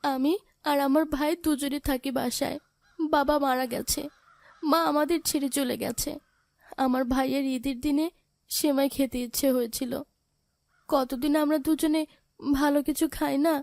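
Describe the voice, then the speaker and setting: Woman acting a high-voiced, plaintive orphaned big sister. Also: steady tone, normal speed, long pauses, darkish tone, dry recording. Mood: sorrowful. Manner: plaintive, pleading. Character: poor orphaned big sister, gentle, sorrowful, pleading. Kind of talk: dramatic cartoon voice acting.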